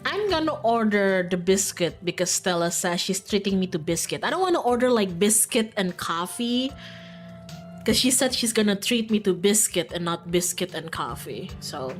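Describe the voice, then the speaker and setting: A woman livestreaming a visual novel, reading it aloud and reacting casually and excitably. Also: mid-range voice, moderate pace. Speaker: streamer playing and voicing a visual novel. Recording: music in the background. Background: game music.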